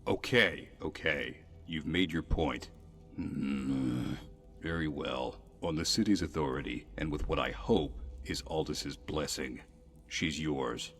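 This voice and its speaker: An actor playing a gruff, weary, stern city guard officer with a sardonic streak in a fantasy video game. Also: male voice, a bass voice, takes it slowly, a bit bright, soft background music, heavily acted. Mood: weary, exasperated. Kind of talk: video game character dialogue.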